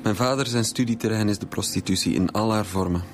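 A man's voice: lowish voice, quick pace, soft background music, natural voice. A man playing a composed, soothing adult son, with a Flemish accent, in naturalistic radio drama dialogue. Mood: calming.

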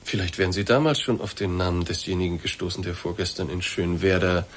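An actor playing a courteous, quietly probing investigator in a German radio drama, speaking formal, deliberate standard German. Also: male voice, sits low, fairly even intonation, a little hiss or hum, natural voice. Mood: measured, probing.

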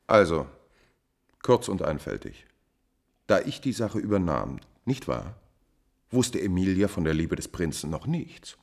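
An actor playing a calculating, coolly insolent court adviser in a classical German radio drama, with a measured, formal, insinuating delivery. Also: male voice, low voice, big pitch swings, gravelly, darkish tone, exaggerated voice. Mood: coolly lecturing.

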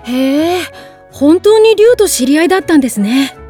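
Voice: high-pitched, expressive, normal speed, warm tone, faint music behind. A performer playing a mild, polite young male adventurer in an anime audio drama, earnest in manner. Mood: surprised. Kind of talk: Japanese anime drama CD acting.

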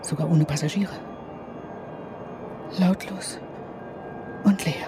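A woman's voice: lowish voice, steady tone, takes it slowly, background noise. An actress playing an anxious, irritable mother who dreads travel and contact, muttering fretfully in a radio drama. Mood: anxious, wistful. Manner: fretful inner monologue, often dropping to a whisper. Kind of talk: German radio drama acting.

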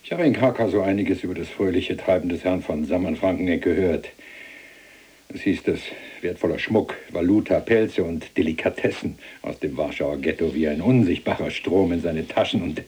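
An actor playing a sly, needling police officer held in prison, speaking with mock deference in a German radio drama. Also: male voice, low voice, moderate pace, darkish tone, breathy edge. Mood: sly insinuation.

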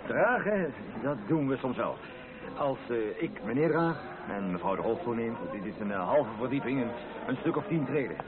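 A man's voice: mid-range voice, animated, normal speed, very noisy, natural voice. Formal, courteous waiter acted by a man. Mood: apologetic, formal. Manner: polite, formal, hesitant. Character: formal, courteous, slightly stiff restaurant waiter. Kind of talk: radio play acting. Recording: thin phone-like band, loud music.

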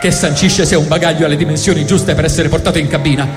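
A man's voice: a little high, fairly even intonation, fast, long reverb tail, music in the background. A man with a Roman accent performing a sarcastic, profane, mock-epic comic monologue in the first person. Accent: Italian, Roman. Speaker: storyteller.

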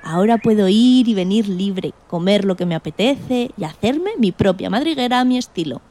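A woman's voice: medium pitch, moderate pace, natural voice. A woman playing an indignant, timid male guinea pig who tells his story expressively in a children's radio play. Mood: joyful. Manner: expressive, exclamatory, plaintive.

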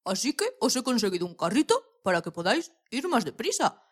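A woman's voice: on the high side, very animated, a little fast, husky. A woman playing a sly, sweet-talking male farmer in a children's audio story, ingratiating and salesman-like. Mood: coaxing.

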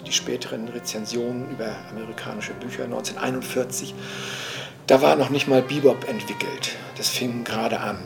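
A mature man speaking spontaneously and analytically as a cultural commentator, searching for words and often adding little tag questions. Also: mid-range voice. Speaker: cultural commentator and jazz enthusiast.

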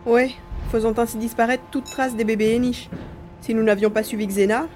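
A man's voice: very high-pitched, fairly quick, a little hiss or hum. Man acting an anxious, determined adventurer, echoey. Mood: watchful. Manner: dramatic, expressive narrating of the action. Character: Karl, anxious adventurer man tracking captive friend, grumbling, determined. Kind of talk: dramatized audio drama with first-person narration. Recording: echoey room with faint music.

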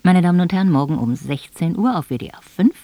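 A woman's voice: on the low side, animated, fast, dark tone, no echo. A woman radio announcer reading a formal scripted broadcast announcement with careful enunciation in a studio.

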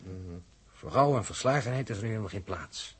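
A man acting a sober, resolute spaceship crew leader in a 1960s radio drama, speaking in a measured, firm way. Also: fairly low pitch, animated, slightly rough. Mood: grave, resolute. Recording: noisy old radio recording.

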